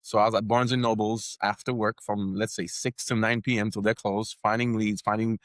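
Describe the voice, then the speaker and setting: Low-voiced Haitian man, confident. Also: flat delivery, fairly quick, no echo, clean studio sound. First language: Haitian Creole. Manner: confident, businesslike, repeats words while building a point. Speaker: podcast network founder, guest. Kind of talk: casual interview talk.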